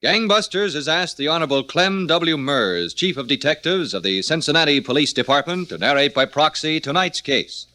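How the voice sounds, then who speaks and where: A man's voice: a little high, lively intonation, moderate pace, few pauses, pushed and bright. A man hosting a 1940s radio crime programme in a scripted style. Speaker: radio show host.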